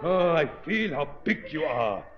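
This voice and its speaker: Hulking brutish giant, muffled old radio. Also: male voice, fairly high pitch, very animated, unhurried. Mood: menacing. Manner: simple broken English. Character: hulking brutish giant villain, boastful then frantic. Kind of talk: 1930s radio melodrama acting. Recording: muffled, noisy vintage 1930s radio recording.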